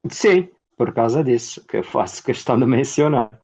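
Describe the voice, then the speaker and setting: A man from Angola with an Angolan Portuguese accent, an actor and playwright answering interview questions in a lively storytelling way. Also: medium pitch, moderate pace, husky. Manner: animated storyteller, acts out quoted dialogue.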